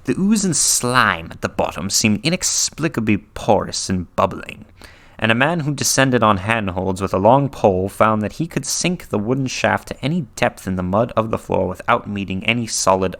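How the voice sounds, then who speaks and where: Male narrator, fairly low pitch, for dramatic horror fiction read-through; theatrical delivery with hushed, breathy dips. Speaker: reader.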